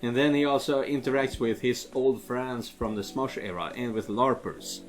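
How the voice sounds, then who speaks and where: A man's voice: medium pitch, steady tone, moderate pace, faint music behind, low-quality recording. A man giving casual let's play commentary on a game, rambling and often searching for words. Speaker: video game let's player.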